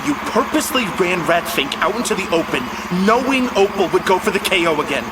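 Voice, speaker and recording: An actor playing a tense, rich young team captain in a cartoon, urgent and indignant. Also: male voice, sits high, big pitch swings, a little fast. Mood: accusing. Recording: clear background noise.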